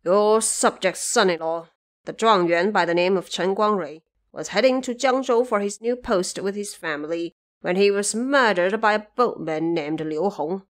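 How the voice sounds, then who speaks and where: A woman's voice: medium pitch, moderate pace, close to an everyday voice. Woman doing dignified old male prime minister, formal, solemn, audio drama. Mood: solemn, resolute.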